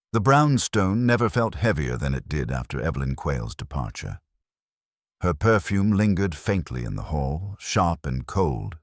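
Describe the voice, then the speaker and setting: Very deep, husky male mystery narrator. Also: dry recording, clean studio sound. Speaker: audiobook narrator. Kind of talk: scripted narration of a first-person detective mystery.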